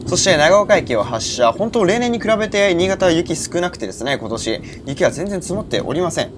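A man's voice: high-pitched, sing-song, a little fast. A man in his early twenties narrating a railway vlog with detailed, informative explanations. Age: early 20s. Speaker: railway vlogger. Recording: loud train and station noise.

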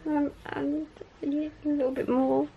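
A woman's voice: high voice, little pitch movement, slow pace, creaky, narrow, tinny audio. A woman, chatting in a rambling, giggly way while vlogging from a bedroom at night. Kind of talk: casual chat. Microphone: handheld camera.

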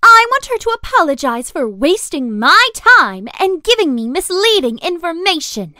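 An actress plays a spoiled young heiress in a voiced visual novel. Her voice is high, bright and very animated, with a haughty, condescending edge. The recording is close and dry. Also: normal speed, no room sound, heavily acted. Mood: indignant. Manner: imperious, condescending.

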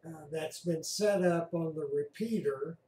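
A man from Texas talks slowly and easily, with a fairly high, mellow voice. He speaks in a relaxed, halting way full of small pauses. The sound is distant and echoey, as if recorded from across a room. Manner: slow, relaxed, halting with many uhs. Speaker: radio hobbyist narrating a weather radar screen. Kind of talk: casual commentary over recorded radio traffic.

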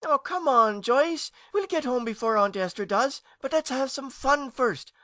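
An excited little boy ghost, voiced by a man, talks high and eager, coaxing the others along. His voice is lifted well above a grown man's. It is recorded close and dry. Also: a little slow, no room sound, heavily acted.

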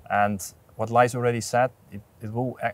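A man in his thirties speaking in an outdoor interview, earnest and measured, with frequent restarts, on a lapel mic. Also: mid-range voice, little pitch movement. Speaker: port authority representative. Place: outdoors at an industrial port site.

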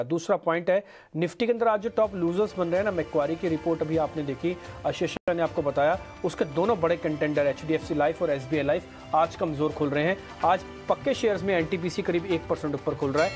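High-voiced male market anchor. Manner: brisk, talks straight to viewers. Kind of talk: live market commentary.

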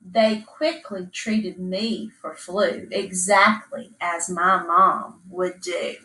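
Slow-paced woman reading a picture book. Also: fairly low pitch, fairly even intonation, sharp tone. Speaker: storybook reader. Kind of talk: expressive read-aloud of a rhyming children's picture book. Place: small indoor room. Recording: echoey room, distant microphone.

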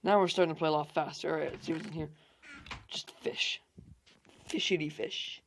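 A young man hosting a gaming channel, hoarse from losing his voice, rambling through casual gameplay commentary with fillers and swearing. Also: a little high, steady tone, fairly quick, a bit bright, slight background noise.

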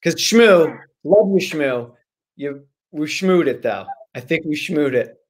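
A man in his forties, an author guest, casually answering questions over a video call from a room at home in an animated, jokey way. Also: a little high, slow.

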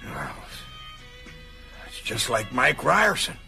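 A weary, dry American small-town lawman for radio drama. The voice is gravelly and unhurried, swinging widely in pitch. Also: wildly swooping pitch, exaggerated voice. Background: loud music.

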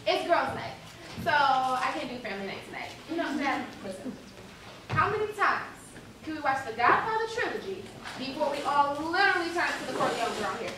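A woman's voice: sits high, very animated, a little fast. A woman playing a chatty, sassy teenage daughter in a stage play. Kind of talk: urban stage play acting. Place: on stage in a theatre, far camera. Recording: echoey noisy theatre, far from microphone.

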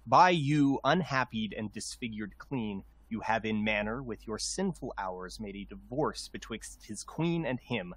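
A man's voice: lowish voice, animated, unhurried, hardly any pauses, fairly bright tone. A man playing a proud, aggrieved rebel nobleman, delivering verse in a formal, declamatory manner. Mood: stern accusation.

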